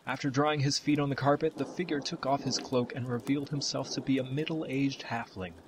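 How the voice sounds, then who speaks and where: A man narrating a scripted fantasy story as the storyteller, with dramatic, deliberate phrasing. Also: mid-range voice, fairly even intonation, background noise.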